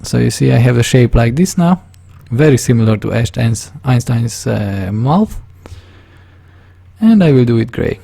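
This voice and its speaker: A man with a Hungarian accent talks through a drawing tutorial at a desk microphone. His voice is mellow and gravelly. He often pauses to search for words and corrects himself as he goes. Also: medium pitch, lively intonation. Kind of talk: casual screen-recorded tutorial narration.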